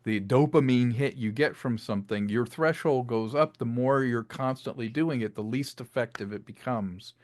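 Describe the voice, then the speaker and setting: A man with a mid-pitched voice talks through his craft in a casual interview. He explains like a storyteller, often slipping into little acted-out quotes to make his point. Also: moderate pace, few pauses. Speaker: interviewed filmmaker and audio drama creator.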